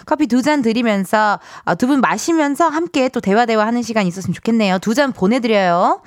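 A woman in her thirties hosting a casual radio show in a studio, chatting with listeners in a playful, teasing, animated manner. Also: on the high side, a little fast, no echo. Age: 30s. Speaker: radio host. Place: broadcast studio.

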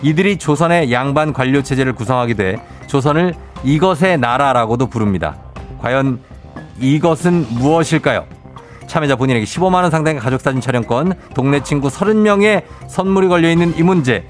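A man's voice: medium pitch, animated, fairly quick, soft background music. A man in his forties hosting a radio quiz in a playful, encouraging way, reading out listener messages. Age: 40s. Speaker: radio quiz show host. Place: radio studio.

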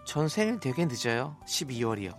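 A man's voice: mid-range voice, very animated, brisk, faint music behind. A man voicing a blunt, easily flustered woman of thirty-two in a radio story reenactment. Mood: surprised. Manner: flustered, prim, indignant. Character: blunt, easily flustered 32-year-old single woman telling her story.

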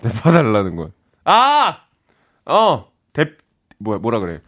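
A man's voice: mid-range voice, wildly swooping pitch, slow pace, a bit bright. A man co-hosting a casual chat, easygoing and curious, who laughs along. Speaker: radio host.

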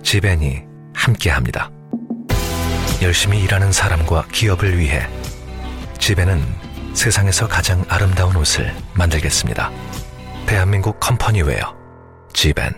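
A man reading a scripted radio commercial in a measured, slogan-like delivery. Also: deep voice, lively intonation. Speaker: ad announcer. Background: music bed.

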